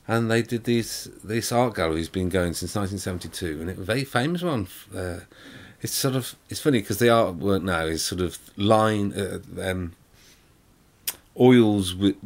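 A middle-aged English man vlogging from his living room sofa, chatty and rambling with lots of fillers and restarts. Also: low-pitched. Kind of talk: casual vlog chat to camera. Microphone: camera in front, close framing.

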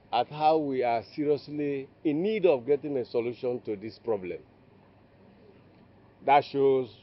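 A man's voice: mid-range voice, natural voice. A man playing a solemn village elder. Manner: measured, formal speech. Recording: dull lo-fi audio.

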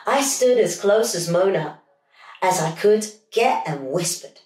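A middle-aged woman reading a children's story aloud in an expressive, dramatic storytelling style. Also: fairly low pitch, a little slow. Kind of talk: animated read-aloud storytelling. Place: small home room, indoors. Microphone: camera a few feet away. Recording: echoey room with music underneath.

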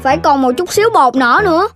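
High-pitched excitable cartoon child animal. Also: heavily acted. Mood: instructive. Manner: exclamatory, childlike. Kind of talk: animated children's series voice acting. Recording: music behind the voice.

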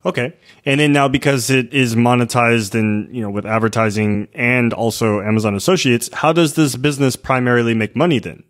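A man's voice: mid-range voice, a little fast. A man hosting a business podcast, upbeat and reading listing details from a script before asking questions. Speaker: podcast host.

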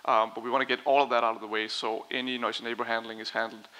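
A man in his thirties answering audience questions on a conference stage, explaining in a measured, diplomatic way. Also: mid-range voice, flat delivery, bright, forceful tone, poor audio. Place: conference stage in a large brick and concrete hall. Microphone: headset.

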